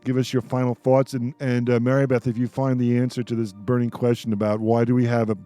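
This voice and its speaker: A man's voice: medium pitch, little pitch movement, fast talker, dark tone. A man hosting a casual panel discussion on the radio. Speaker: radio show host. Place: radio studio.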